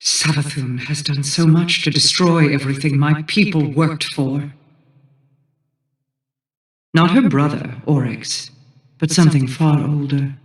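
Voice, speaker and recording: A woman's voice: sits low, steady tone, a little slow, long pauses. An actress playing a regal, guarded fantasy queen in a video game, speaking in a formal, imperious manner. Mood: grave, brooding. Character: regal, solemn, guarded Awoken queen, vengeful and commanding. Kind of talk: scripted video game dialogue. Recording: echoing, distant-sounding game voice.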